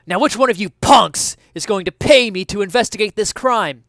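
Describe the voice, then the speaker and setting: Male reader as hardboiled cop, gung-ho, self-righteous, high and loud, declamatory, comic story reading, dry sound. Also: moderate pace, no room sound. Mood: commanding. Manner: earnest, declamatory, shouting commands. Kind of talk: hardboiled detective parody reading.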